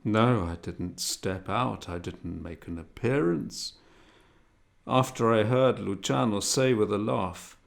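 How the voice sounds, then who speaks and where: A man narrating a literary novel as an audiobook, in a measured, expressive, dramatic reading manner. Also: on the low side, gravelly.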